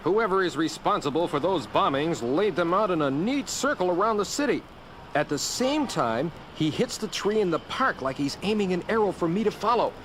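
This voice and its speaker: A man playing a young, wisecracking superhero in an audio drama, earnest and animated. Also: sits high, moderate pace, a little hiss or hum. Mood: thinking aloud. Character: young wisecracking male superhero and news photographer.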